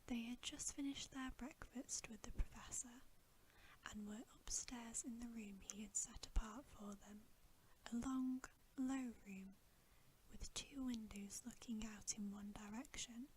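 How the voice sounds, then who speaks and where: A woman reading a bedtime story aloud in a breathy, unvoiced ASMR whisper, gentle and soothing. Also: a little high, fairly even intonation, measured pace, a little hiss or hum. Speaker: ASMR reader.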